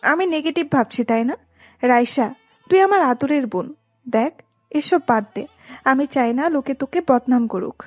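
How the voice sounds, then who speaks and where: A woman's voice: high voice, lively intonation, normal speed, long pauses, darkish tone, no room sound, soft background music. A woman playing a protective, exasperated older sister in a dramatised romantic audio story, with a stern, admonishing manner. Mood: stern scolding.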